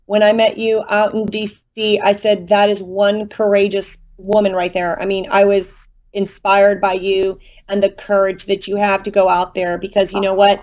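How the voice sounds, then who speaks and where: A woman hosting an interview show over a video call, effusive and quick in her chat with the guest. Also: medium pitch, little pitch movement. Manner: effusive, fast-talking. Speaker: show host. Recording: tinny phone-like line.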